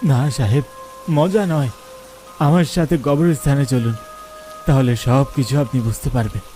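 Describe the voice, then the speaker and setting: A man's voice: medium pitch, moderate pace, mellow, faint music behind, close to an everyday voice. A man voicing a deferential, pleading middle-aged ghost of a murdered trader in a horror cartoon. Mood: earnest pleading. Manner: deferential, pleading, addresses listener as sir.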